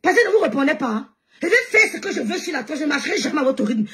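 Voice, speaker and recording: A Cameroonian woman hosting a live stream, mocking and laughing as she rants and often shouts. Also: high-pitched, sing-song, very fast. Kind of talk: heated live-stream rant.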